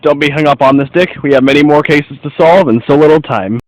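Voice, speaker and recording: A man's voice: a little high, quick pace, background noise, lightly acted. A man playing a confident, observant detective in an amateur radio play, with formal, instructive phrasing. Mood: reflective. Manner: formal, didactic, declarative phrasing. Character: confident, observant male detective in 1940s America.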